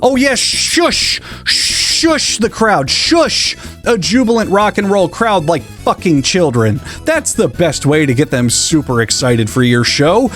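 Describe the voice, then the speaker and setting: A man with an American accent delivers scripted comedy commentary, sarcastic and mocking, drawing out words to make a point. His voice sits a little high and swings widely in pitch. Soft music plays underneath. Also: very animated. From United States. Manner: sarcastic, mocking, drawn-out stresses. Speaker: YouTube commentary host.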